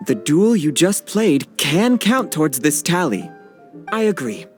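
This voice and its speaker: A man voicing a courteous, knowledgeable card-game master in an English game dub, with formal, measured diction. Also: a little high, big pitch swings. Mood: instructive, reassuring. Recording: studio recording over background music. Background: game background music.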